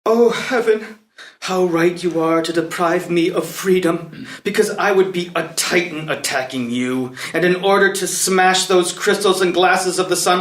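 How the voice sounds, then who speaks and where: A man's voice: sits high, fairly bright tone. A man playing a proud, wrathful chained prince, declaiming with passion in a play read-through. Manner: declamatory, impassioned. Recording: roomy, echoey sound.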